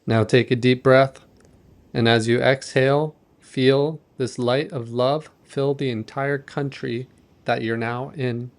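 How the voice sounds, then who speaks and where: A man leading a guided meditation in a gentle, measured, softly instructive manner. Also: mid-range voice, fairly even intonation.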